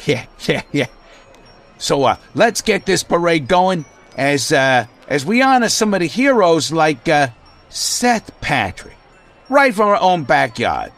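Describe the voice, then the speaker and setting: Bumbling small-town parade emcee, slow, stammering, folksy storyteller with big pitch swings, comic radio drama. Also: male voice, big pitch swings, a bit bright, soft background music, exaggerated voice. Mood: rousing, halting. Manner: halting, rambling, stammering, clears throat. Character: bumbling folksy male emcee rambling through town legend. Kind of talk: comic old-time radio acting.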